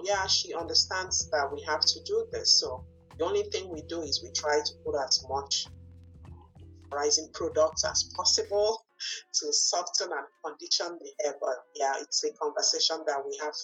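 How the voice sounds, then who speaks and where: A woman from Nigeria with a Nigerian accent, whose first language is not English, answering interview questions and often pausing and restarting to find her words. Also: deep voice, a bit bright, husky, faint music behind, poor audio. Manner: searching for words, frequent fillers and restarts. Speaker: guest, lawyer and business owner.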